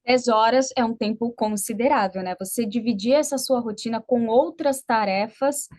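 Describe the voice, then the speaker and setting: A woman's voice: a little high, normal speed, darkish tone. A woman with a Brazilian accent hosting an interview, speaking politely and articulately as she prompts her guest. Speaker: interview host.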